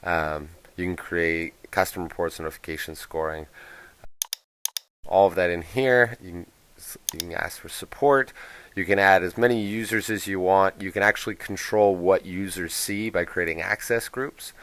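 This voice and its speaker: Low-voiced man giving a casual walkthrough. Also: steady tone, moderate pace, fairly bright tone, a little hiss or hum. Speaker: software presenter.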